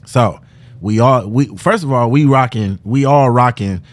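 A man's voice: medium pitch, unhurried. A man hosting a casual podcast, talking in slangy, teasing banter and laughing often into a studio mic. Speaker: podcast host.

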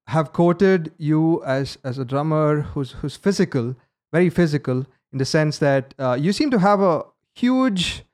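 A man with a notably high voice hosts an online interview. He speaks formally, reading a prepared introduction and posing long, careful questions over a video call. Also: darkish tone. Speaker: interview host.

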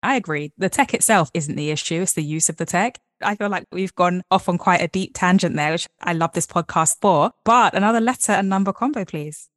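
Brief: lively female podcast host, somewhat low voice, close dry recording. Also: brisk, few pauses, no echo. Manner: upbeat, playful, scripted-sounding intros. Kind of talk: hosting a tech-career podcast interview.

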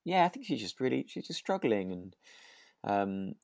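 A podcast co-host, talking thoughtfully and tentatively in relaxed discussion, with hesitant, circling phrasing. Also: medium pitch, normal speed, vocal fry, no echo, studio quality. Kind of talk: relaxed discussion of a radio drama.

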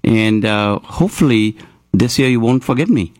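A man's voice: mid-range voice, animated, moderate pace, darkish tone, a little hiss or hum. A man who grew up in Houston, hosting a community talk show and interviewing a guest in an earnest, rambling way with many restarts.

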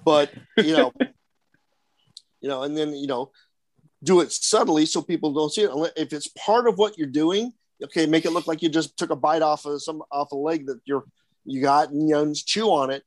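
A man's voice: a little high, moderate pace, no echo. Rambling man with a dry close voice. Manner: rambling, many fillers and restarts. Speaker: haunted attraction actor. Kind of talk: casual panel chat.

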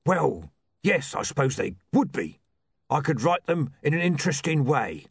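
A man's voice: on the high side, big pitch swings, creaky, husky, no echo, clean studio sound, voice pushed up, exaggerated voice. Man voicing an emphatic, earnest zombie, closely recorded. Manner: emphatic, deliberate. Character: male zombie, earnest, insistent, self-important.

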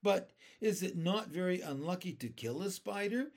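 A man's voice: sits high, expressive, moderate pace, gravelly, darkish tone, voice pushed up. A man voicing a polite, curious, kindly young boy with an earnest, wondering manner in a storybook reading.

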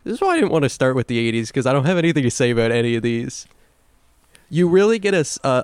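A man's voice: medium pitch, clean tone, no echo. A man co-hosting a podcast, chatting casually about video games and swearing freely. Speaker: podcast co-host.

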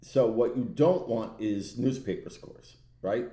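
A man co-hosting a podcast in casual, bantering chat with a loud, animated voice and a roomy, echoey sound. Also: medium pitch, a little slow. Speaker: podcast co-host. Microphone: own podcast microphone.

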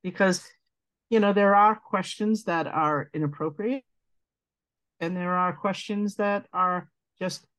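Deep-voiced woman, earnest and thoughtful. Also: takes it slowly, a bit bright, no room sound, rough recording. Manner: earnest, thoughtful, speaks in long winding sentences. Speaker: guest, advocate. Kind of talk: reflective interview conversation.

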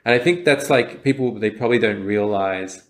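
A man's voice: fairly low pitch, moderate pace. A young man co-hosting a podcast, speaking in a reflective, casual way with frequent fillers and restarts. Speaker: podcast co-host. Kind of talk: casual chat.

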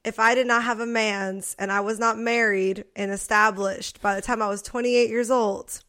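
A woman's voice: fairly high pitch, steady tone, normal speed, pushed and bright. Giggly, chatty young woman. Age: 20s. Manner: giggly, chatty, laughs often. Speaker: podcast co-host. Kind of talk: casual chat.

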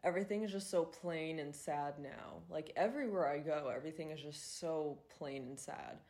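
A woman's voice: low-pitched, moderate pace, a little mellow. A woman in her twenties hosting a podcast, chatting casually with lots of fillers and swearing, sounding congested and nasal. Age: 20s. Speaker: podcast host. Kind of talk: casual solo podcast chat.

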